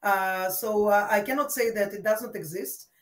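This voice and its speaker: A woman's voice: medium pitch, moderate pace. A woman from Russia answering interview questions in a thoughtful, hesitant way, with many fillers. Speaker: interview guest, expert. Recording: roomy, distant from mic.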